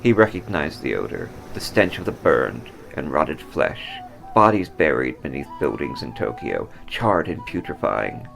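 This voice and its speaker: Low, gravelly, dramatic male storyteller. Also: sing-song, a little slow, hardly any pauses, a bit bright, faint music behind, low-quality recording. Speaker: author reading own story. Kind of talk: dramatic scripted story narration.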